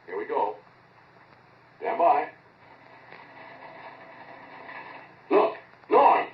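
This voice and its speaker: A man playing a brisk, excitable experimental scientist in a 1940s radio drama, with terse, offhand delivery. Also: mid-range voice, a little hiss or hum. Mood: excited. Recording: muffled, distant, echoey old radio recording.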